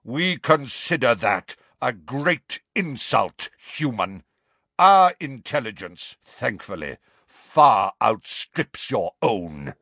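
A man voicing a proud, hostile male centaur with a rough, imperious delivery, in an audiobook. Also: a little high, lively intonation, takes it slowly, hardly any pauses, a bit bright, no echo, pitched above the performer's own voice. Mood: outraged.

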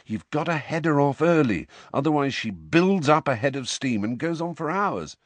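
A knowing teenage boy , as a male narrator puts him on, chatty and quick, with lively pitch. Close, dry storybook reading. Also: dry recording.

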